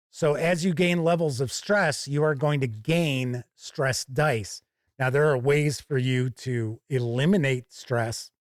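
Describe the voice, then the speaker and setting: Male reviewer, slightly high voice, unhurried explanatory walkthrough, chatty asides, very dry close sound. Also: no echo. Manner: deliberate, explanatory, conversational asides. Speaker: reviewer and show host. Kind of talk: casual product review walkthrough.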